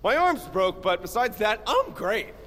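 An actor playing a goofy, excitable young man, a reluctant hero in a comedic action audio drama, who yelps, whines and wisecracks. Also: sits high, unhurried, big-room sound, full character voice. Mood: wry banter. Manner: yelping, whiny, wisecracking.

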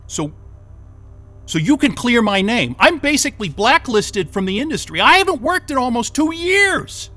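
An actor playing a flustered, cynical jobless tech worker in an audio drama, blurting and halting as he is questioned. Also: very high-pitched, very animated, bright, forceful tone, soft background music, heavily acted. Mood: hopeful disbelief. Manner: exasperated, sweary, blurting and halting. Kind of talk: naturalistic audio drama acting.

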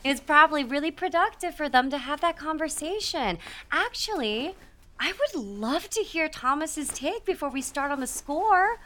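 Diplomatic female writer, high voice, swooping intonation, pointed, audio comedy. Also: animated, normal speed, a bit bright. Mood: placating. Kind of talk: audio comedy drama acting.

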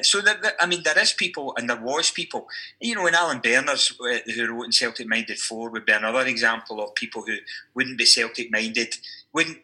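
A man's voice: medium pitch, sharp tone, low-quality recording. A man, an academic, talking at length in a podcast discussion, with many restarts and asides. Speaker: university academic discussing football history.

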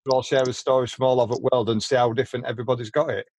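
A man hosting a casual podcast, chatty and quick to share opinions, often stumbling and repeating words as he starts a sentence. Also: medium pitch, flat delivery, a little mellow, slight background noise. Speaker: podcast host. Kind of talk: casual chat.

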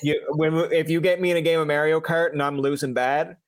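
A young man with a Newfoundland accent hosts a casual chat, his voice sitting a little high. He rambles through stories with plenty of fillers and the odd swear. The sound is dry and close, with no room around it. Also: fairly even intonation, fairly quick, dry recording. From Newfoundland, Canada. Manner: chatty storyteller, lots of fillers and swearing. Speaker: interviewer.

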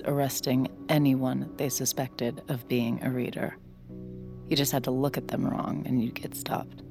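Low, creaky, wry woman. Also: darkish tone. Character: wry guarded younger woman, secret mind reader, anxious. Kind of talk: audio drama dialogue and first-person narration.

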